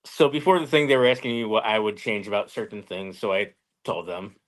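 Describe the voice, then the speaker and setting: A man's voice: lowish voice, steady tone, brisk, rough recording. A man as an interview guest, chatting casually and telling stories in a plain-spoken way with short simple answers. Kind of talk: casual storytelling chat.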